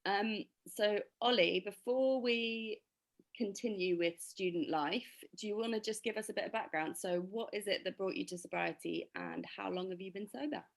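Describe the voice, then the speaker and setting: A young woman co-hosting a podcast, slightly husky from a cold, chatting casually and upbeat. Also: medium pitch, normal speed. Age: young adult. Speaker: podcast co-host.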